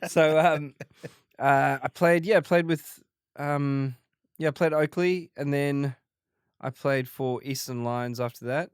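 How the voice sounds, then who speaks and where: A man in his forties with a faint South African accent under an Australian one, telling stories in a relaxed interview, full of restarts and fillers. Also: a little high, measured pace, clear, resonant tone, no echo, clean studio sound. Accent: faint South African, mostly Australian. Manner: rambling, many restarts and fillers. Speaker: guest comedian. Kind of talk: casual interview chat.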